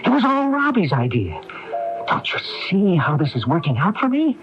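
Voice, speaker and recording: A man's voice: high voice, huge pitch swings, moderate pace, background noise, full character voice. Weak bitter businessman, emotional and jumpy, voice swings all over, muffled old radio drama. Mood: resentful. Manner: agitated, emotional, hesitant. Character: resentful, weak-willed businessman, anxious and pleading. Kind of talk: radio mystery drama acting. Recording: dull 1980s AM radio recording, music behind.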